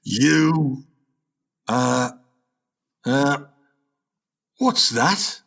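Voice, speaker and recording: A man's voice: mid-range voice, animated, very slow, gravelly, fairly bright tone, full character voice. A man playing a blustering, hopeful aging jazzman father in a comedic radio play, talking in boastful old-time hipster slang. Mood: boastful, eager.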